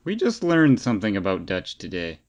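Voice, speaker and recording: A man with a fairly low voice co-hosts a casual podcast. He talks in slangy, teasing banter and leans hard on his words for emphasis. The recording is somewhat dull and lo-fi. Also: huge pitch swings, moderate pace, a loud crowd. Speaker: podcast co-host. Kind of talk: casual banter about games and shows.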